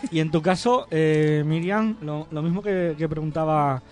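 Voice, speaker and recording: A man's voice: a little high, moderate pace, darkish tone. A man from Spain co-hosting an interview, prompting the guests and laughing along. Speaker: co-host.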